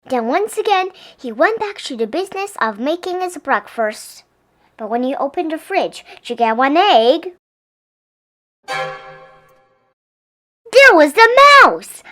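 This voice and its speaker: A woman's voice: sits high, very animated, takes it slowly, long pauses, sharp tone. A woman reading a picture book aloud expressively for young children. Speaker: storybook reader.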